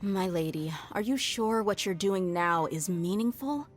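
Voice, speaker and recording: A woman's voice: medium pitch, animated, unhurried, faint music behind, lightly acted. An actress playing a tough, principled woman mercenary bodyguard in a game, blunt and casual in manner. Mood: earnest. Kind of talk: game voice acting.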